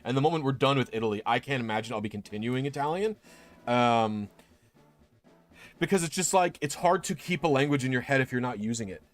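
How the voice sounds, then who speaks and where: A man's voice: medium pitch, expressive, brisk. A young man chatting casually on a stream, rambling with many restarts and fillers. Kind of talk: casual chat.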